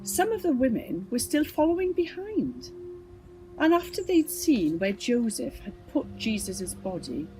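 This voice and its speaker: A woman's voice: on the high side, big pitch swings, unhurried, a little mellow, faint music behind, low-quality recording. A woman reading a children's Bible story from a script. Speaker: storybook reader.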